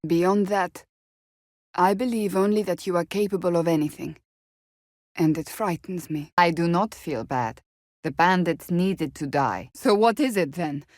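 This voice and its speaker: A woman's voice: lowish voice, a little mellow, no room sound, studio quality, natural voice. An actress voicing a stern, devout warrior woman in a fantasy video game, speaking in a formal, blunt, serious way. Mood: earnest, solemn, then blunt, irritated.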